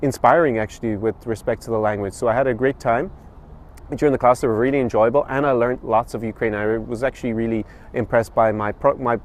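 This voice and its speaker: A man's voice: medium pitch, fairly even intonation, fast. A man in his thirties vlogging to camera outdoors in a city, giving a casual spoken review with many fillers and restarts. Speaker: travel and language vlogger. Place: outdoors in a city street by a statue.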